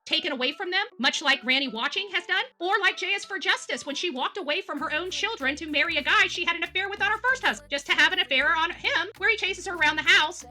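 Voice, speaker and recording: A woman's voice: extremely high pitch, fast talker, few pauses, bright, forceful tone. A woman livestream host on an angry, combative rant, emphatic and outspoken, repeating herself for force and swearing at times. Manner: coarse, outspoken. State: angry, worked up.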